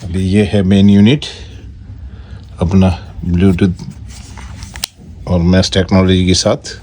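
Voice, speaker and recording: A man with an Indian accent giving a casual, step-by-step product walkthrough in short, halting phrases. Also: low voice, little pitch movement, mellow. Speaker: product reviewer and vlogger. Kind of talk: casual unboxing walkthrough, Hindi mixed with English. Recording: noticeable background noise.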